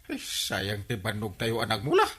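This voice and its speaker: An actor playing a flustered, bumbling village captain in an Ilocano radio comedy drama, exclaiming and stammering with an Ilocano accent. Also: male voice, fairly low pitch, huge pitch swings, moderate pace, a bit bright, husky, full character voice. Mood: defensive, agitated. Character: blustering, excitable village captain, a comic bumbler.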